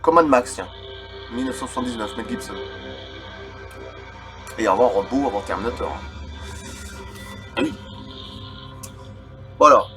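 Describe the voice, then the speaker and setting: A man's voice: medium pitch, normal speed, pauses often. A man, reading from a book aloud and adding casual, rambling asides as the host of a video channel. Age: 30s. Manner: informal, rambling, digressive asides. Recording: background music under the voice. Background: eerie film music.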